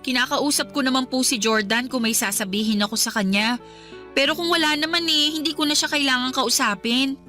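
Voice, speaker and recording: Meek, high-voiced young Filipina niece. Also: female voice, a little fast, pushed and bright, faint music behind. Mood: meek, reserved. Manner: polite, hesitant, pleading. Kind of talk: Filipino radio drama acting.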